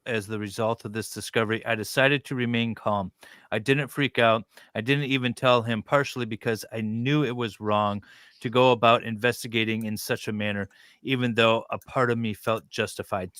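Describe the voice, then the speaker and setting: A man reading a document aloud haltingly and breaking off for casual commentary. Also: medium pitch, a bit bright, smooth, no echo, clean studio sound.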